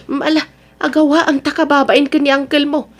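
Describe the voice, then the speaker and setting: A woman's voice: high-pitched, little pitch movement, brisk, natural voice. A woman acting a firm, humble middle-aged mother with an Ilocano Filipino accent, scolding and advising in a motherly way in an audio drama. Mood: exasperated.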